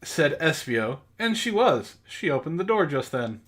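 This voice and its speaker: A man's voice: fairly high pitch, big pitch swings, unhurried, fairly bright tone. A man co-hosting a comedic book club, reading amateur fiction aloud in a mock-serious way with joking asides. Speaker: podcast co-host and story reader.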